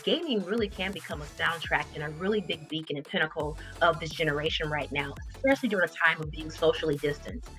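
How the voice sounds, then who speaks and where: A woman's voice: on the low side, fairly bright tone. A woman giving a rousing, declarative statement in a promotional speech.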